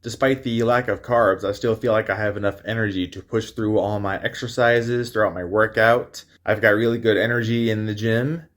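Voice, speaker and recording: A man's voice: on the low side, steady tone, normal speed, few pauses. A young man narrating his own diet vlog in a casual, candid way, describing how he feels day to day. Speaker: fitness vlogger.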